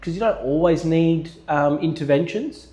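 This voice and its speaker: A man co-hosting a health podcast. He is restless and talks in casual chat, jumping in quickly with examples. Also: fairly high pitch, dark tone. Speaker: podcast co-host and manual therapy practitioner.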